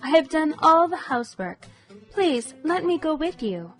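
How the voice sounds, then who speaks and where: A woman voicing a sad, gentle young daughter in a fairy tale, plaintive and pleading. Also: high voice, sing-song, unhurried, faint music behind, heavily acted.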